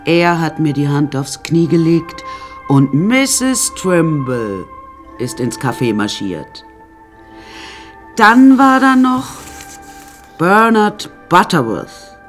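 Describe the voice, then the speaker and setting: A flamboyant woman telling her own story in a radio play. She is chatty and wry, full of theatrical asides, and her pitch swings in lively fashion. Music plays underneath her. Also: takes it slowly, long pauses, exaggerated voice. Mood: gossipy, amused. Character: flamboyant, unsinkable woman recounting her many husbands. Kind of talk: radio play first-person narration.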